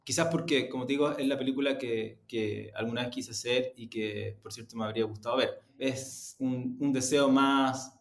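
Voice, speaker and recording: A man answering interview questions in a reflective, halting way, repeating words for emphasis. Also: medium pitch, gravelly. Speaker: executive interview guest. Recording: roomy, echoing sound.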